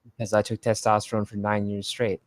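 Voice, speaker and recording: A deep-voiced person in a heated online debate, firing off blunt, profane rebuttals one point after another. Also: flat delivery, fairly quick, no room sound.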